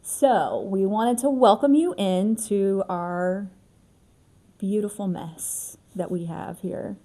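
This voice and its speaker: Casual American female co-host, mellow tone, mid-pitched, friendly-chat style. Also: takes it slowly, a little hiss or hum. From United States.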